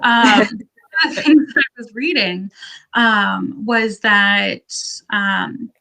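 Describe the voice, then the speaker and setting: A woman's voice: mid-range voice, slow, vocal fry, sharp tone, rough recording. A woman hosting a video call from home, talking in an upbeat, chatty way. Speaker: host. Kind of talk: casual video call chat.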